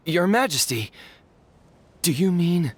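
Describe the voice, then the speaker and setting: A timid fantasy townsperson with very expressive, swinging intonation. The figure sounds honest, anxious and apologetic, in animated game style. Faint noise runs behind the voice. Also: medium pitch, slow. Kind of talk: animated game character acting.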